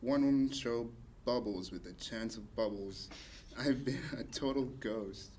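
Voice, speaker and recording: A man's voice: mid-range voice, measured pace, a little mellow, slight background noise, natural voice. A man playing an anxious, sweet young woman, a former superhero, in a plain, subdued comedy table read. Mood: worried.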